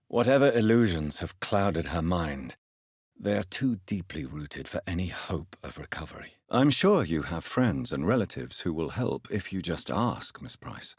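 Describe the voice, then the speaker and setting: An actor playing a brooding gentleman doctor turned vampire in a video game, speaking in a formal, articulate, grave way. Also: deep voice, slightly rough, no room sound, studio quality, full character voice. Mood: sorrowful. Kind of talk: dramatic video game dialogue.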